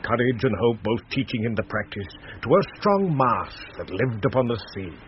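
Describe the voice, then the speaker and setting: An actor plays a loyal sea captain, a man of middling pitch with very lively intonation. He tells his tales heartily in verse, on a dull, faintly noisy vintage radio recording. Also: normal speed, close to an everyday voice. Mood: reassuring. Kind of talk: 1970s BBC radio Shakespeare acting.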